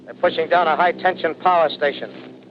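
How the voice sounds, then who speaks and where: A man voicing a bomber pilot lieutenant barks terse position reports over the radio in an old-time radio play, his voice a little high for a man. Loud engine roar fills the dull, lo-fi broadcast. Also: fairly quick, a little hiss or hum, lightly acted. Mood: clipped, steady. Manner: clipped military radio report. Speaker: bomber squadron commander. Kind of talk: 1930s radio drama acting.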